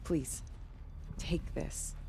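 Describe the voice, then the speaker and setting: A woman's voice: drawn-out words, noisy background. A woman playing a proud, grateful village musician in a video game, speaking earnestly and lyrically. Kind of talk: video game cutscene acting.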